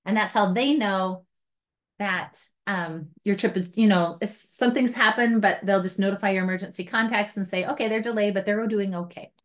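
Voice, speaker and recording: A woman's voice: medium pitch, moderate pace. A woman with a mid-range voice gives an informal training walkthrough. She explains each step in a casual, chatty manner, like a trainer talking to her guides, and drops in light self-mocking asides. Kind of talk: informal hands-on device tutorial.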